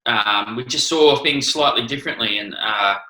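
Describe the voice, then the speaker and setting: Australian man, bright voice, echoey room. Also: mid-range voice, moderate pace. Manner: hesitant, many restarts and ums. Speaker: interview guest, former cricketer. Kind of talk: casual interview answers.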